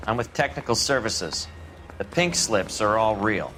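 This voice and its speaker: An actor playing a matter-of-fact police technician in a video game, brisk and informative. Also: male voice, fairly low pitch, big pitch swings, background noise. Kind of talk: scripted video game dialogue.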